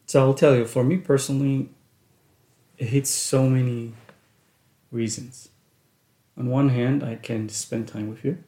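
A man's voice: medium pitch, steady tone, measured pace, long pauses, dark tone. A middle-aged man co-hosting a casual family podcast, talking in an earnest, reflective way. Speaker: podcast co-host and father. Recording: echoey room.

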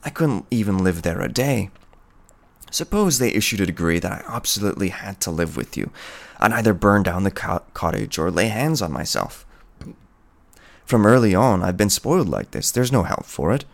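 A man voicing a proud, indifferent peasant hunter in a storybook, gruff, dismissive and boastful. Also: on the low side, lively intonation, normal speed. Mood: defiant.

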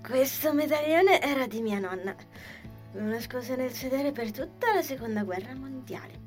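A woman playing an overwrought, shrill sitcom woman. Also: lively intonation, normal speed, faint music behind. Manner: melodramatic, loud. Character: overwrought, absurdly dramatic young woman in a sitcom. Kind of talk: sitcom dub.

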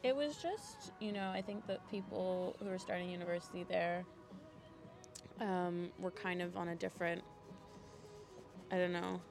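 A woman's voice: lowish voice, steady tone, normal speed, gravelly, pauses often, music in the background. A young woman telling stories casually as a podcast guest, chatty and self-deprecating. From Europe, mainly Switzerland, Belgium and Scandinavia. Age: young adult. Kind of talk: casual conversational storytelling.

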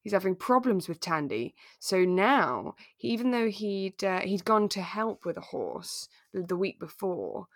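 A woman co-hosting a podcast in relaxed, conversational chat, retelling a drama and acting out bits of it. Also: medium pitch, a little slow, hardly any pauses, no room sound, studio quality. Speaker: podcast co-host.

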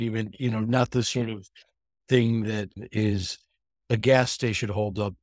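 A man hosting an interview podcast, putting questions deliberately in short, measured phrases. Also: mid-range voice, no room sound, clean studio sound.

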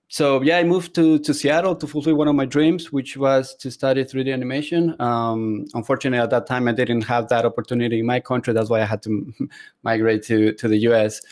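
A man's voice: mid-range voice, darkish tone. Earnest man from Ecuador on a call. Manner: earnest, laughs often, many fillers. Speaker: guest 3D artist. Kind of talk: casual interview answers.

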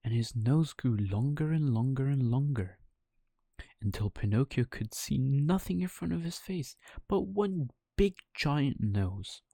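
A man's voice: medium pitch, expressive, unhurried, vocal fry, mellow, no echo, clean studio sound. A man narrating a children's bedtime story as a storyteller.

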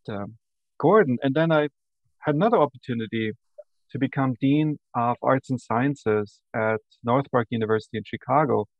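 A middle-aged man with an Austrian accent and German as his first language, chatting in an interview, warm and laughing easily with thoughtful pauses. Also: medium pitch, no room sound. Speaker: guest. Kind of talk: casual interview chat. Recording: dull lo-fi audio.

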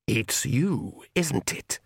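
Audiobook vampire clan head, man acting: imperious, pompous, formal, animated pitch, dry close sound. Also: extremely slow, creaky, breathy edge, no echo, full character voice. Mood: suspicious. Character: haughty male vampire patriarch, imperious and exasperated.

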